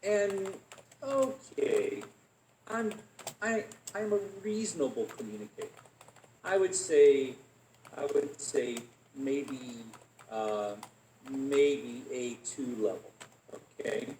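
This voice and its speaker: A man's voice: medium pitch, expressive, takes it slowly, warm tone, a little hiss or hum. A man giving a lecture to an audience, who restarts phrases often and checks in with "okay?". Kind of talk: animated academic lecture. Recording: echoey room.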